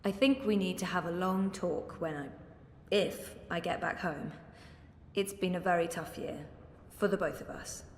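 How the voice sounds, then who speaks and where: Actress as weary young game heroine, understated and hesitant. Lowish register, long reverb around the voice. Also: female voice, moderate pace, close to an everyday voice. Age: young adult. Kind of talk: video game character acting. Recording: echoey hall, faint background noise.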